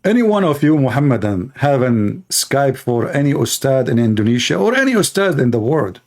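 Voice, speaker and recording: Guy running a debate stream, mellow voice, sarcastic and rambly, lots of "you know". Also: mid-range voice, big pitch swings, normal speed. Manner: mocking, rambling, full of fillers. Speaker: livestream debate host. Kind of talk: sarcastic livestream talk.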